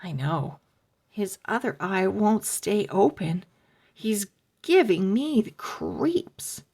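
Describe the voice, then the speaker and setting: A woman's voice: medium pitch, sing-song, takes it slowly, a little mellow, breathy edge, full character voice. A woman voicing a mischievous, jumpy young boy who talks eagerly. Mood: excited, nervous.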